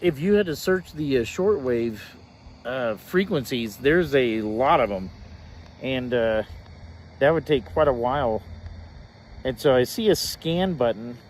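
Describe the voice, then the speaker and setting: Male hobbyist, casual gadget demo, thinks aloud haltingly, noticeable ambient noise behind the voice. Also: medium pitch, lively intonation. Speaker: hobbyist reviewer demonstrating a shortwave radio. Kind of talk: casual hands-on demonstration.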